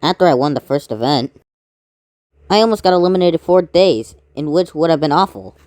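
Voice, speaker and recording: A woman doing amateur voice acting for a fan-made animated cartoon. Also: low-pitched, a little fast, no room sound, studio quality.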